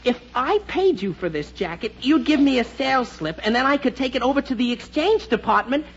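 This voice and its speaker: A man's voice: extremely high pitch, animated, fairly quick, vocal fry, breathy edge, full character voice. A man playing a hapless, naive teenage boy in a 1940s radio comedy, stammering, pleading and exclaiming. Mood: relieved, pleased. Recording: muffled, noisy 1940s radio recording.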